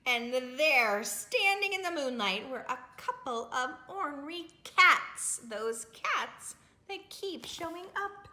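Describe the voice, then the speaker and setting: Lady doing storytime for kids, bright highish voice, slow and super expressive, bit echoey. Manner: animated, dramatic, with gasps and asides to young listeners. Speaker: library storytime reader. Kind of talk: expressive read-aloud of a children's picture book. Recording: roomy, echoing indoor recording.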